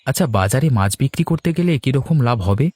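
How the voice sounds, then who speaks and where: A man's voice: medium pitch, expressive, quick pace, warm tone, dry recording, close to an everyday voice. A man playing a hardworking, practical village fishmonger in a cartoon, hopeful about his family trade. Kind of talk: Bengali cartoon dialogue.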